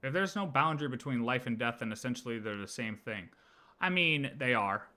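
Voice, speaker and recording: A man's voice: mid-range voice, lively intonation, fairly quick, sharp tone. A man chatting casually as a game livestreamer, emphatic and slangy, often repeating his phrases.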